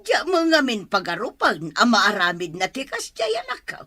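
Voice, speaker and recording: Woman playing a sharp-tongued older grandmother. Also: on the high side, huge pitch swings, moderate pace, no echo, studio quality, full character voice. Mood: defensive.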